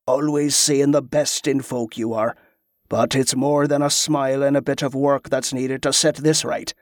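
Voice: medium pitch, little pitch movement, moderate pace, dry recording, clean studio sound, close to an everyday voice. A performer voicing a sternly kind, fatherly country man in an audiobook, scolding in rustic turns of phrase. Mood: gently stern.

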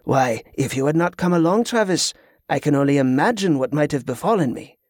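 A man's voice: a little high, lively intonation, a little fast, a little mellow, no echo, studio quality. A man voicing a crafty, hot-tempered old French pirate in an audiobook, with a French accent and effusive, flowery speech. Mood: genial, sly.

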